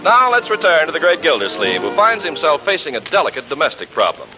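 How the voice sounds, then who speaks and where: A man's voice: on the high side, big pitch swings, quick pace, over loud music. A man with an American accent reading scripted 1940s radio announcements in a polished, emphatic way. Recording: noisy 1940s radio recording.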